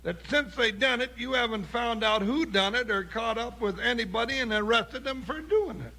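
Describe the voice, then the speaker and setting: An actor playing a talkative, folksy cowboy sidekick with a Western drawl in a 1950s radio western. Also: male voice, very high-pitched, moderate pace, sharp tone, full character voice. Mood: folksy, puzzled. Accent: American Western drawl. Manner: folksy, rambling, exclamatory. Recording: noisy 1950s radio recording.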